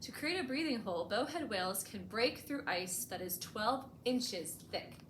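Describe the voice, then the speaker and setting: A woman reading a picture book aloud for children as a storytime host, expressively and with deliberate emphasis, adding short explanatory asides. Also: on the high side, lively intonation, a bit bright. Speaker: librarian hosting a bedtime storytime. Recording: echoey room with clear background noise.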